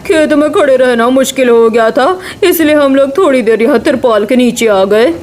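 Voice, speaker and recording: Woman acting, high pitch, eager villager, polite, cartoon story delivery. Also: moderate pace, a little mellow, a little hiss or hum, close to an everyday voice. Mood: polite, relieved.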